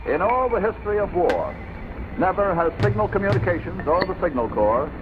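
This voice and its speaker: A man's voice: sits high, very animated, fairly quick. Old timey war newsreel guy, tinny narrow sound, formal and declaiming, music behind. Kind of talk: 1940s military newsreel narration.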